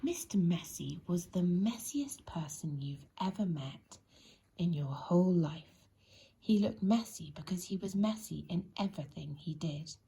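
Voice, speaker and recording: A woman reads a children's bedtime story expressively and deliberately, speaking directly to young listeners with a breathy, husky voice. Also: lowish voice, lively intonation, dark tone.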